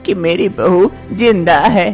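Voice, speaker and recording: A woman voicing a bossy but doting older mother-in-law in a storybook tale, who stretches out her loud calls. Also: on the low side, slow pace, soft background music. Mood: emotional. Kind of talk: storybook voice acting.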